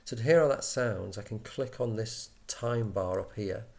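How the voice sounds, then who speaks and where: Low, slightly creaky man's tutorial voice. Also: moderate pace, darkish tone. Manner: explains step by step, conversational. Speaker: software tutorial instructor. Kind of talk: informal screen-recorded software walkthrough.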